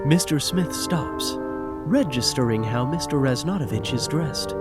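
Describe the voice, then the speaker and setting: A man's voice: mid-range voice, expressive, measured pace, over loud music. A man narrating the action of a scripted audio drama.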